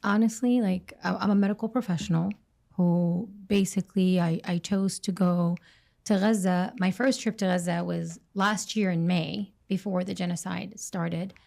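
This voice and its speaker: A woman, a registered nurse, answering interview questions earnestly, with halting restarts. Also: lowish voice, fairly even intonation, vocal fry, a little mellow.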